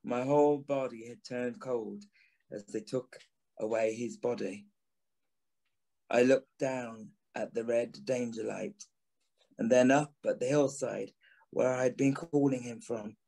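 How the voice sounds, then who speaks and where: A man's voice: medium pitch, fairly even intonation, vocal fry, mellow. An actor playing a haunted former journalist turned signalman, grave, anguished and intense in a dramatic audio drama narration. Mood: grave, regretful. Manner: slow, deliberate, intense.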